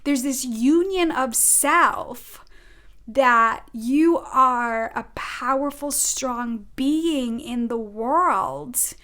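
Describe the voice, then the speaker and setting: A woman's voice: sits high, extremely slow, bright, forceful tone. A woman with a Rhode Island accent giving an earnest, effusive weekly oracle card reading as a spiritual advisor. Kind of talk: informal spiritual guidance monologue.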